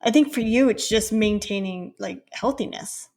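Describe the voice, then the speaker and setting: A woman's voice: a little high, moderate pace, darkish tone. A woman co-hosting a podcast in casual chat, with an informal, playful, slangy way of talking. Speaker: podcast co-host.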